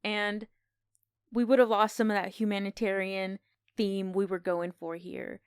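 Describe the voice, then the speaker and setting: A woman's voice: medium pitch, little pitch movement, unhurried, clear, resonant tone, no room sound, clean studio sound. A woman co-hosting a podcast, casually discussing film in a conversational, opinionated way. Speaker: podcast co-host.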